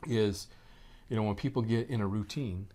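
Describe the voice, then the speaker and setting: A man's voice: on the low side, normal speed, warm tone. An American man in his forties giving informal, emphatic answers as an interview guest. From United States. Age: 40s. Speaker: interview guest, network marketing executive.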